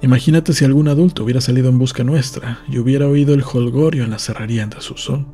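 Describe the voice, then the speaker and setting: A man's voice: medium pitch, steady tone, quick pace, mellow, soft background music. A man reading literary narration from a script, as in an audiobook. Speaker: audiobook reader.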